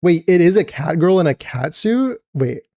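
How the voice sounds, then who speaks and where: A man streaming a live reaction to a game trailer, excited and chatty with many restarts. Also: fairly high pitch, moderate pace, no room sound, studio quality.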